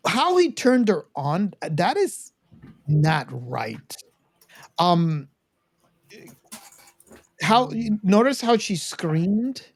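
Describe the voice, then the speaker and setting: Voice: mid-range voice, sing-song, very slow, pauses often, breathy edge. A podcast host talking casually about a film, with rambling asides to listeners. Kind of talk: casual movie discussion.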